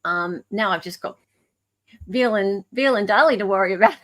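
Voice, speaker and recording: A woman's voice: medium pitch, normal speed, fairly bright tone. A middle-aged Australian woman hosting a livestream, chatting casually in a playful, informal way. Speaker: livestream host.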